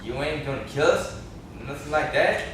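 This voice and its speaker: A man's voice: fairly high pitch, moderate pace, close to an everyday voice. A young man acting in an amateur stage play as a simple, touchy backwoods dog breeder with a naive rustic way of talking. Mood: anxious. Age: young adult. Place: classroom, indoors. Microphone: far camera. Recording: echoey classroom, distant camera mic, noisy.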